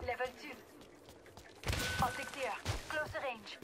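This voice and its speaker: Brief: a high, sing-song woman fighter in a shooter game, slow, distant, music loud. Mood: lilting. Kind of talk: game character voice lines.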